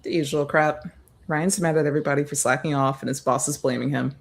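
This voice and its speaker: Creaky-voiced man reading a wry, guarded woman. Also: on the high side, steady tone, quick pace. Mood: casual, wry. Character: Karen, wry guarded woman, secretly a seductive vampire. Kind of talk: film script table read.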